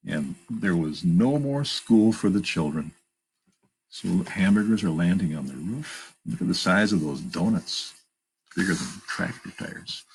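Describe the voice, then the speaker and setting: A man's voice: lowish voice, slight background noise, rough recording. A man reading a children's picture book aloud, expressively, with plain asides about the pictures. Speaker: storytime reader and narrator.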